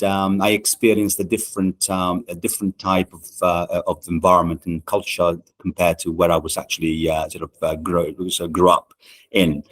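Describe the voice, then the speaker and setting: Deep-voiced man, halting and measured. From Italy. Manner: measured, with many "uh" and "um" pauses and restarts. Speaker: management consultant and guest. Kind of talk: podcast interview answers. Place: podcast studio.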